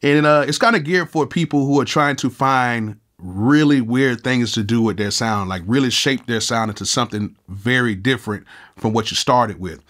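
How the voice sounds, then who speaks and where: A man in his thirties with a cold and a nasal voice, casually walking through music software in a laid-back, slangy way from his home studio. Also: mid-range voice, normal speed. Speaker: music producer and YouTube host.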